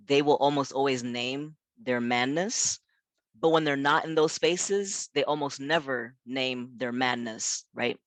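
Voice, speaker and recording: Midwestern-accented man, minister, mid-pitched, casual teaching talk with lots of "right?", dry recording. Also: a bit bright, no room sound. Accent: Midwestern American. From South Africa. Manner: chatty, peppered with "you know" and "right?"; earnest and emphatic. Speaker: minister leading a workshop. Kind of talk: conversational workshop presentation.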